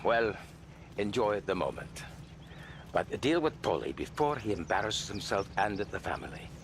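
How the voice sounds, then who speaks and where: Casting: a formal mob advisor, low voice, faint hum. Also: male voice, very animated. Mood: measured. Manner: measured, formal.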